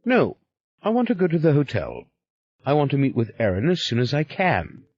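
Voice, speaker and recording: A proud, suspicious man cast out of his order, curt and defiant, as a narrator puts him on. The voice sits higher than the narration, in a dry, dull recording. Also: huge pitch swings, normal speed, darkish tone, slightly rough, dry recording, exaggerated voice. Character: proud angry male ex-member, suspicious.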